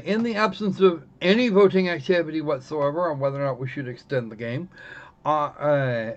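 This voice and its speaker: A man's voice: mid-range voice, fairly bright tone. A man hosting a live game stream in casual chat, rambling and often correcting himself mid-sentence. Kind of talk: casual livestream chat while gaming. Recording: dull, lo-fi voice recording.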